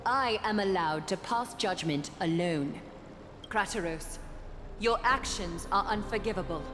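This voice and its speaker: A woman's voice: medium pitch, a little slow, fairly bright tone, lightly acted. Woman playing an imperious ruler in a reverberant hall. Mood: stern. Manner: formal, authoritative. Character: cold, imperious female ruler, stern judge. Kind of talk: dramatic fantasy game acting. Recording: long hall reverb, music behind. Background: music.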